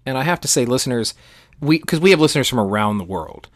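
A man's voice: mid-range voice, lively intonation, quick pace, no room sound, studio quality. A man co-hosting a podcast, explaining history in an enthusiastic, conversational and emphatic way. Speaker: podcast co-host.

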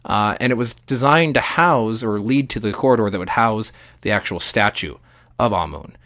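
A man's voice: fairly low pitch, moderate pace, a bit bright, dry recording, clean studio sound. A man co-hosting a podcast, explaining history in an enthusiastic, conversational and emphatic way. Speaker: podcast co-host.

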